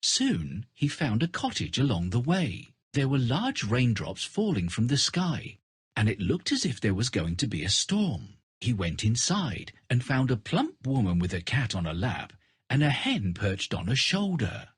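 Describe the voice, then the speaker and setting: A male storybook narrator for children with a very husky voice. His pitch moves in big animated swings, and the recording is dry and close. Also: a little slow, dry recording.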